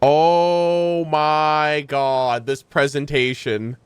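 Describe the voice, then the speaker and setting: A man hosting a gaming video, giving casual, expressive, slangy reaction commentary close to the mic. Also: fairly high pitch, extremely slow, clear, resonant tone, no echo. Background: game music.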